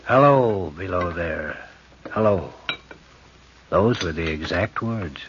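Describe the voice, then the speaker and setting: A haunted, fearful railway signalman in a vintage radio horror play. His voice is tense and forceful, with halting, intense phrasing. The recording is dull and noisy, like an old broadcast. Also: deep voice, animated, heavily acted. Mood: anxious, pleading.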